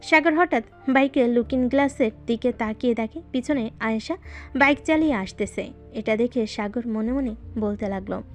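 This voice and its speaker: Highish-voiced woman narrating a story. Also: normal speed, soft background music.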